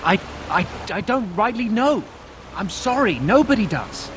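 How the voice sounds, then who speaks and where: Anxious high-voiced young village militiaman. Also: very animated, a bit bright, a little hiss or hum, heavily acted. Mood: anxious, deferential. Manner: anxious, halting, deferential. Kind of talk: fantasy video game acting.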